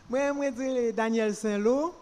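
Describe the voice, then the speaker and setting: A woman's voice: sits high, mellow, a little hiss or hum. A middle-aged woman from Haiti, a development worker, introducing herself on a panel in a jovial, joking way. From Pétionville, Haiti. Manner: jovial, jokes with the audience.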